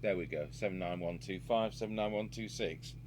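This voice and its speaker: A man's voice: low-pitched, a little fast, noisy background. A man giving a casual, unscripted hands-on repair demonstration, musing aloud as he works. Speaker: radio repair hobbyist and video presenter.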